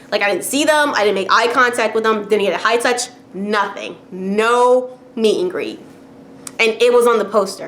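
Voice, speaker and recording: A woman's voice: mid-range voice, a bit bright. Chatty woman ranting with lots of fillers. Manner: chatty, frequent fillers and laughs, rising exasperation. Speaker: vlogger. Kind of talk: casual rant to camera.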